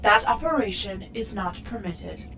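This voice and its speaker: A woman's voice: medium pitch, lively intonation, moderate pace, a bit bright, highs cut off, a little hiss or hum, lightly acted. A woman voicing an impassive starship computer in an audio drama, speaking in clipped, formal, emotionless phrases. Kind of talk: fan audio drama acting.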